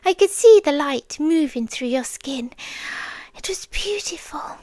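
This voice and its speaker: A British woman voicing a possessive, childlike female sea demon in an ASMR roleplay, tender and eerie, with soft breathy speech that often drops to a whisper and slow, coaxing pauses. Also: high voice, lively intonation, no echo. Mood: tender, wondering.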